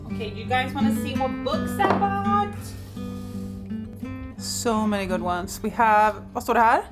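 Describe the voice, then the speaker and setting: A woman's voice: on the high side, a little slow, loud music behind the voice. Chatty woman vlogger. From Sweden. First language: Swedish. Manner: chatty, excitable, laughs often. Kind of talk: casual chat to camera.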